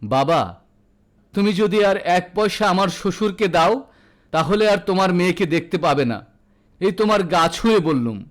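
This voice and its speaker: A man's voice: high-pitched, animated, normal speed. A man voicing a proud young married woman, a hurt daughter speaking firmly and indignantly in an audio story. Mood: firm, pleading. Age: young adult. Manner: earnest, indignant, firm.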